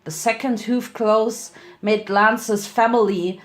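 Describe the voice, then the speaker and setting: A woman's voice: fairly high pitch, steady tone, takes it slowly. A woman giving a dramatic narrated reading of a story. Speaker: fan fiction reader.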